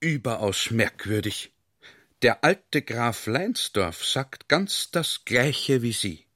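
An actor playing a courteous, cautious military general with formal, ornate politeness in a radio play. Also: male voice, mid-range voice, expressive, slow pace, a bit bright, no echo, clean studio sound. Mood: musing, courteous.

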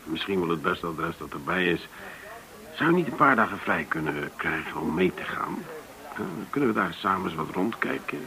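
A man's voice: deep voice, very animated, normal speed, pushed and bright, heavily acted, low-quality recording. An actor playing a courteous, somewhat hesitant middle-aged businessman in a naturalistic radio play. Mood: confiding, tentative. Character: Dirk Norman, courteous male businessman living off share sale. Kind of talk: naturalistic radio drama acting.

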